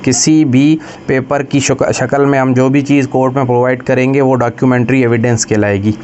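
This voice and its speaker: A man's voice: medium pitch, steady tone, a little mellow, muffled recording. Pakistani man lecturing, with background noise. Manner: switches between Urdu explanation and slow, deliberate English reading. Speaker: lecturer for law exam preparation. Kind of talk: explanatory lecture, reading out quiz questions and explaining them.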